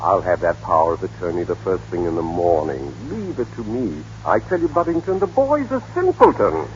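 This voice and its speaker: An actor playing a scheming big-city lawyer with a hemming, patronizing manner in old-time radio drama. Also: male voice, deep voice, very animated, moderate pace. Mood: irritated, scheming. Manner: hemming, patronizing, formal address. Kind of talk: 1930s-40s radio drama acting. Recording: dull, noisy old radio broadcast.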